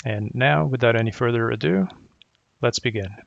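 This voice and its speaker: Middle-aged man from Croatia, gravelly voice, methodical tech presenter, dry and slightly dull recording. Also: medium pitch, no echo. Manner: measured, explanatory, methodical. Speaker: technical solutions architect presenting. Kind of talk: prepared conference presentation.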